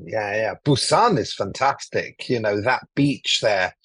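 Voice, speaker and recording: A man in his forties from Greece, talking as a podcast guest in a relaxed, rambling way with many fillers and restarts over a remote call. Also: fairly low pitch, unhurried, breathy edge, no echo. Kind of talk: casual interview talk. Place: cozy room.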